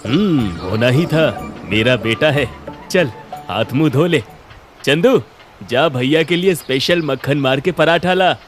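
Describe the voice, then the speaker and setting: Man acting a gruff, proud village father, animated pitch. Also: a little slow, close to an everyday voice. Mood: gruff fatherly. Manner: gruff, scolding, sarcastic. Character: proud, stern middle-aged father running a village roadside eatery. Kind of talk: cartoon story acting. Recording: music under the voice.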